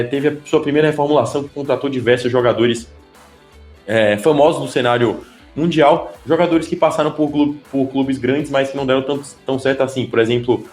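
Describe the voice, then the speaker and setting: A man co-hosts a casual podcast, thinking aloud with lots of fillers and restarts. The recording sounds roomy and echoey, with faint music underneath. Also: mid-range voice. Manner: hesitant, many fillers and restarts. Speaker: podcast co-host.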